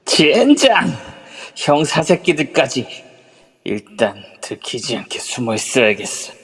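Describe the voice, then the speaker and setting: A man's voice: mid-range voice, big pitch swings, a little slow, husky, heavily acted. A man voicing a vicious old psychopath villain who taunts and cackles in an amateur audio drama. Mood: cursing. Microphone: phone via a voice chat app. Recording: long reverb.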